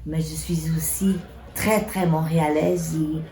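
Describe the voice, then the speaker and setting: A woman's voice: deep voice, fairly even intonation, unhurried, gravelly, dark tone. An older woman from northern Portugal, Portuguese her first language, speaking earnestly with hesitations in an interview. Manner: earnest, with hesitations and repeated words. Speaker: community activist and author. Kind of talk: interview and speech. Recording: echoey room with faint noise.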